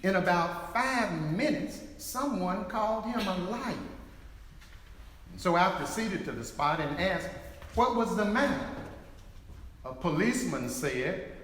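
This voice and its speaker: A man playing a grave senator and peacemaker giving measured testimony in a dramatic reading. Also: high voice, animated, fairly bright tone. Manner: deliberate, measured. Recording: very echoey hall, faint background noise.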